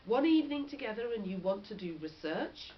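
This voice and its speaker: A woman's voice: mid-range voice, animated, a little fast, voice pushed up, lightly acted. A woman reading a storybook, voicing a sulky, sarcastic young girl who scolds her father in an indignant, emphatic way. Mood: grumbling. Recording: dull lo-fi audio with faint noise.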